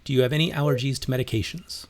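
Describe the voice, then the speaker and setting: A man playing a brisk, businesslike doctor in an audio drama, clipped and clinical. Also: mid-range voice, noisy background, close to an everyday voice. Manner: clipped, clinical, matter-of-fact. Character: brisk, businesslike doctor processing new arrivals.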